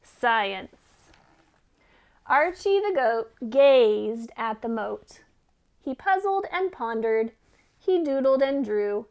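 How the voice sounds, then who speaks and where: A woman's voice: sits high, expressive, takes it slowly, darkish tone. A woman reading a children's story aloud expressively, as a teacher who puts questions to listeners.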